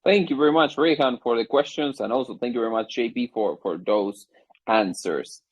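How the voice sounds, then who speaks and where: A man's voice: medium pitch, normal speed, breathy edge, no room sound. A man hosting a live audio Q&A in an upbeat, friendly way, calling people up to ask questions. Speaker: host of the Q&A. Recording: dull lo-fi audio.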